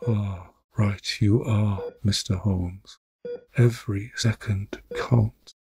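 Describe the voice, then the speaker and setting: Man acting a slow, solemn wealthy duke. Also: fairly low pitch, fairly even intonation, vocal fry, dark tone. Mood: grave, earnest. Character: Mister Cavendish, stately wealthy duke, solemn male client. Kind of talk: audio drama dialogue.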